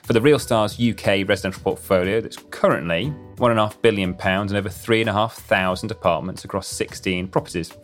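A man's voice: on the low side, fairly quick, few pauses, faint music behind. A man hosting an interview, introducing his guest in a formal, scripted way. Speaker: podcast host.

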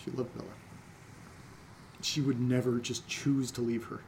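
Trusting young man, dry, casual, mid pitch, audio drama, faint noise. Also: little pitch movement, a little slow, vocal fry. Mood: hurt, insistent. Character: trusting, wounded, dryly teasing young man.

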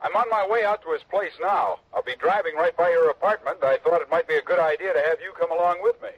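A man's voice: on the high side, fast talker, breathy edge. An actor playing an eager, chummy young insurance agent in his twenties who turns evasive, in a 1960s radio drama. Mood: friendly. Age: 20s. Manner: chummy, then evasive and hesitant. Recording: dull old radio broadcast.

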